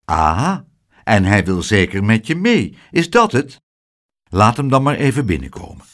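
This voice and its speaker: Man playing a brisk, kindly, animated gentleman. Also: fairly low pitch. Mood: cautioning.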